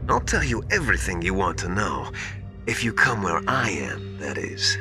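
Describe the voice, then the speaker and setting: An actor playing a smug, mocking villain in a video game cutscene, drawing out words theatrically. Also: male voice, sits low, big pitch swings, music in the background. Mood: taunting. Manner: drawn-out, gloating, theatrical delivery. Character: scheming villain posing as a trusted mentor, smug and mocking.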